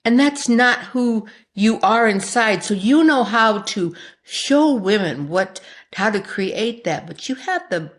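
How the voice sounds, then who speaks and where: A woman hosting a podcast in a conversational interview style, speaking with an effusive, emphatic and affectionate manner. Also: a little high. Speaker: podcast host.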